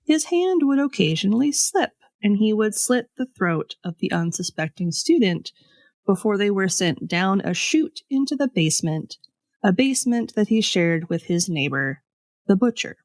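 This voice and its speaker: A woman's voice: mid-range voice, big pitch swings, moderate pace, darkish tone, dry recording. Woman storyteller, close dry sound. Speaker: podcast host. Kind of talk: scripted storytelling with casual asides.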